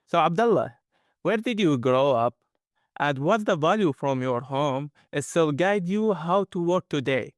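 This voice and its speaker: A man hosts a fireside chat and reads prepared questions, with some nonstandard grammar. His voice sits a little high. The sound is close and dry. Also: expressive, a little slow, dry recording. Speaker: host.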